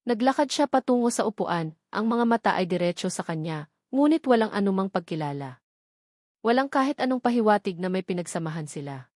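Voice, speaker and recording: Woman reading a dramatic love story, scripted, mid pitch voice, really dry recording. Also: a little mellow, no room sound. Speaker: story narrator.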